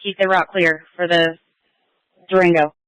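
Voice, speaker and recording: Flat, even-toned police dispatcher on radio. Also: medium pitch, normal speed, smooth, dry recording, low-quality recording. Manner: clipped, procedural. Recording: police scanner radio audio.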